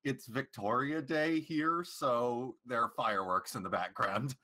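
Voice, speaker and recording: A man's voice: mid-range voice, a little slow, bright, forceful tone. A man chatting casually on a podcast, tossing out dry joking quips. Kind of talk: casual chat.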